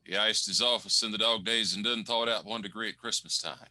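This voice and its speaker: A man's voice: fairly low pitch, a little fast, bright, forceful tone. A man narrating a radio play with vivid, relishing storytelling. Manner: vivid, relishing descriptive words.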